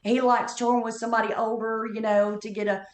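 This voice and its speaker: A woman's voice: fairly high pitch, level tone, fairly quick, reverberant. Perky Southern woman in her fifties. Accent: Southern US, Appalachian. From North Carolina Appalachians. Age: 50s. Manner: chatty, perky storyteller. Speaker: comedian guest. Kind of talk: casual podcast chat.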